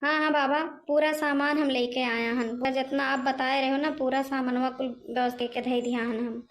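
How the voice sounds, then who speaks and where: A woman's voice: sits high, flat delivery, normal speed, close to an everyday voice. A woman voicing a timid, sly old village mother-in-law in a cartoon comedy, rambling and placating with an Awadhi accent. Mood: anxious, pleading. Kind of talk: amateur cartoon comedy voice acting. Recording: music behind the voice.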